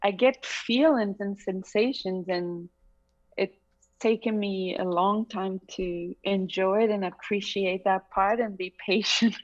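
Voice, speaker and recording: A woman's voice: mid-range voice, fairly even intonation, unhurried. A middle-aged woman from Chile with a Chilean Spanish accent, her first language being Spanish, talking reflectively in a casual interview and laughing often. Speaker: singer, interview guest. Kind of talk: casual conversation. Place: bright room at home. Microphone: video call. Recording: slightly dull video call audio.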